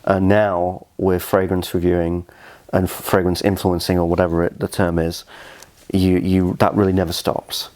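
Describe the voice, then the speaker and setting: Young male interview guest, English accent, deep voice, casual conversational delivery. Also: little pitch movement, normal speed, darkish tone. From southern Scotland. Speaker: interview guest, fragrance reviewer.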